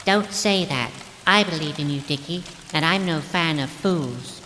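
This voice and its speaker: A woman plays a prim, earnest fantasy companion in an audio drama. Her phrasing is formal and old-fashioned, her pitch a little low for a woman. The voice rings with long reverb over a faint patter of rain. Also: measured pace, sharp tone, a little hiss or hum. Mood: earnest urging.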